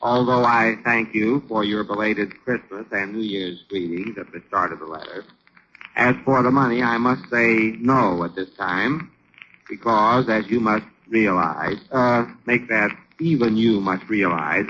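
A man playing an exasperated, sardonic but patient American businessman husband in a 1940s radio comedy, talking in a dry, halting way. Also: lowish voice, pushed and bright. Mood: halting, dictating. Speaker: comedy actor. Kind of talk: 1940s radio sitcom acting. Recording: dull, crackly old radio recording.

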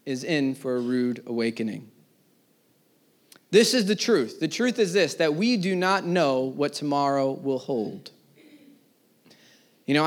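A man in his thirties, a pastor, preaching a sermon to a live congregation, rising in emphasis and then dropping to quiet words at the ends of phrases. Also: a little high. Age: 30s. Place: church service before a live congregation.

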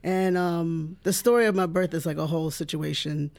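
A man's voice: a little high, steady tone, moderate pace, creaky, darkish tone. A guest in his thirties from New Jersey who talks in a reflective way, with many fillers and restarts. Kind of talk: casual interview.

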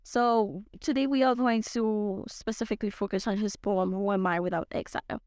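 Young female podcast host, French accent, halting and full of fillers, dry close recording. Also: mid-range voice, fairly even intonation, darkish tone, clean tone, no room sound. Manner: hesitant, many fillers and restarts. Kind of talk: casual podcast chat.

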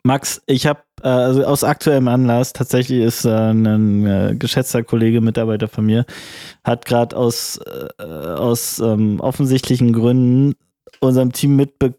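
A man's voice: medium pitch, steady tone, normal speed, warm tone, no echo, studio quality. A man co-hosting a casual podcast chat while he has a cold, rambling along in coarse, colloquial talk with many restarts. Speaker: podcast co-host.

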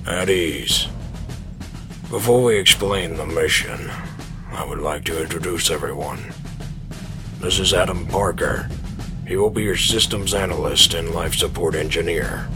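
A man playing a gruff, impatient male commander of a space agency, speaking in a stern way. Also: low-pitched.

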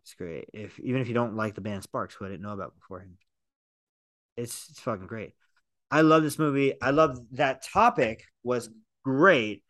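A man's voice: medium pitch, normal speed, a bit bright, dry recording. A man hosting a film podcast in casual chat, enthusiastic and profane, rambling with restarts. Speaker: podcast host, film reviewer.

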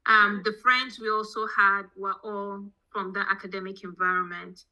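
A woman's voice: mid-range voice, little pitch movement, normal speed, sharp tone, dull audio. A middle-aged woman with a Nigerian accent, answering interview questions conversationally as an academic guest. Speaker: podcast guest, petroleum engineering professor. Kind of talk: conversational interview answers, telling own background.

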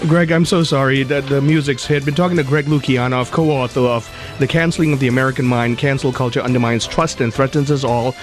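A man's voice: medium pitch, fairly even intonation, fairly quick, hardly any pauses, soft background music. A man from Malaysia filling in as host of a radio talk show, speaking earnestly and often repeating words as he starts a thought.

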